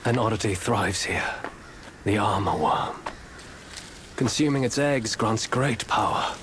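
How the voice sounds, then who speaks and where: An actor voicing a scheming male Taoist disciple in a video game, soft-spoken and sly, with whispery asides. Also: on the low side, a little slow, noisy background, natural voice. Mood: solemn. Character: scheming male Taoist disciple, secretly monstrous, courteous then hungry. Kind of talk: video game cutscene acting. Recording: game audio over ambience.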